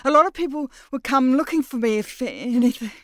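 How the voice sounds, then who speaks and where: Bluffing trespasser woman, nervous, high and breathless, quick, put on by a reader. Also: very animated, no room sound, clean studio sound.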